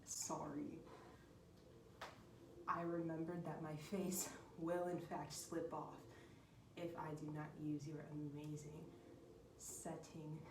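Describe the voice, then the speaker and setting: A woman's voice: deep voice, little pitch movement, reverberant, recorded from a distance, noisy background. A young woman, the host's roommate, cutting in briefly with an apologetic aside. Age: young adult. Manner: apologetic, giggly, quick aside.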